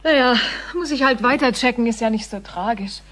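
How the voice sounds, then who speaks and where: A woman's voice: a little high, brisk, fairly bright tone. An actress playing a breezy, curious, chatty woman in a radio play, talking colloquially and eagerly. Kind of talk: radio drama acting.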